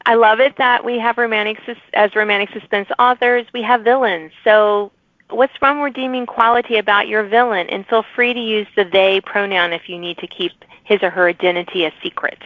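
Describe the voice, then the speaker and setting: A woman's voice: mid-range voice, steady tone, fairly quick, dry recording. A woman hosting a podcast interview in an enthusiastic, encouraging, conversational manner. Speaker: podcast host. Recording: narrow phone-like audio.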